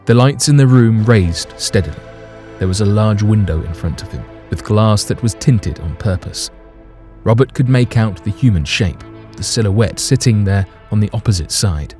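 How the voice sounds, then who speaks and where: A man's voice: fairly low pitch, dark tone. A man narrating a scripted audio drama in a dramatic storytelling style.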